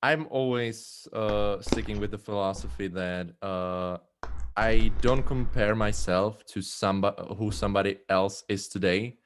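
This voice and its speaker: A man, a bass singer, answering interview questions in a casual, hesitant way with many fillers and restarts. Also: a little slow, a little hiss or hum. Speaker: a cappella bass singer and arranger.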